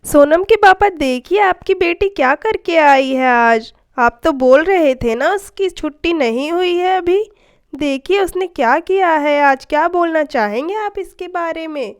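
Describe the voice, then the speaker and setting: A woman's voice: very high voice, lively intonation, measured pace. A woman voicing an exasperated mother in a children's story, scolding and nagging.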